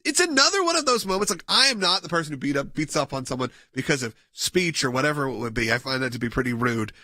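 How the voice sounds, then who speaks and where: A man co-hosting a political talk radio show, rambling quickly and laughing often, with a fairly high voice. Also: lively intonation, sharp tone, no echo, clean studio sound. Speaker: radio co-host.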